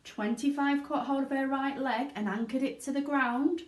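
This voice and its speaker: A woman narrating a children's storybook aloud in an expressive reading style. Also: high voice, normal speed. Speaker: teaching assistant reading aloud. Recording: echoey room.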